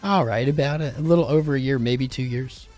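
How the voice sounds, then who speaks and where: Casual male streamer, middle pitch, slangy and rambling, voice worn thin, soft music playing in the background. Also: brisk. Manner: rambling, slangy, talks straight to chat. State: losing his voice. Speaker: crypto livestream host. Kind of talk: casual livestream chat.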